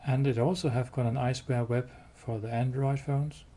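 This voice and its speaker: A man giving a hands-on product demo indoors, explaining step by step in a halting, matter-of-fact way. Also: medium pitch, fairly even intonation, dark tone. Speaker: product demonstrator. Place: indoors, showroom with wall-mounted devices. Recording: clear background noise.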